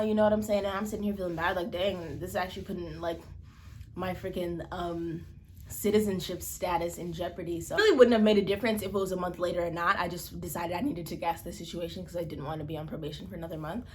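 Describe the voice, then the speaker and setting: A woman's voice: fast talker, slight background noise. A woman in her twenties from Malawi, telling a story in a casual, chatty vlog and acting out the quoted lines. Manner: chatty, expressive, acts out quotes with pitch jumps. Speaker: vlogger.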